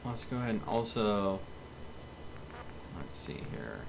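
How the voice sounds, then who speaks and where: A man streaming a video game, thinking aloud in casual, muttered commentary as he deliberates. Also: fairly low pitch, sing-song. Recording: clear background noise from game ambience.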